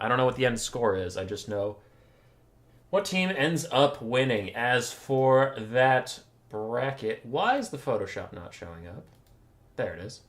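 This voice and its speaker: A man hosting a livestream with a bright, forceful, slightly tense voice that sits at an ordinary male pitch. He chats casually and rambles in a wry, self-mocking way, dropping swear words offhand before casting games. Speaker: stream host and tournament caster. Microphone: desk mic, camera off.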